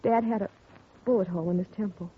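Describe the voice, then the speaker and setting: An actress playing a grieving young woman whose father has been murdered, speaking softly and earnestly in a 1950s radio drama. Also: medium pitch, steady tone, fast, husky. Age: young adult. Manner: soft and earnest, recounting quietly. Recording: tinny lo-fi 1950 radio broadcast with faint hiss.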